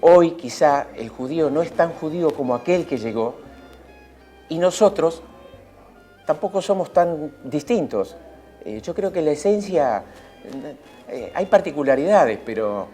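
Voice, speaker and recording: A man's voice: fairly high pitch, a little mellow. A man giving a reflective interview answer, searching for words as he muses.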